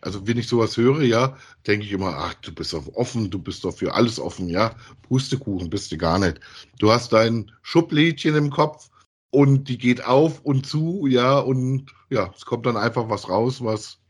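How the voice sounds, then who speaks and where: A man's voice: mid-range voice, lively intonation, normal speed, slightly rough. A man co-hosting a podcast in casual discussion, giving his opinions in an emphatic, colloquial way and often seeking agreement. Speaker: podcast co-host reviewing audio dramas.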